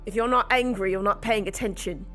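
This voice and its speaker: A woman's voice: mid-range voice, fairly quick, fairly bright tone, faint music behind, close to an everyday voice. A woman acting a guilt-ridden, hot-tempered young girl detective in an audio drama. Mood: angry, scolding.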